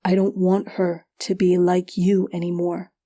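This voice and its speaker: Loyal young wolf-boy played by a female narrator, fantasy tale; slightly lowered, careful, gentle, mellow. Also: level tone, unhurried, no echo, studio quality. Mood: earnest, resolute. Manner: earnest, soft, careful.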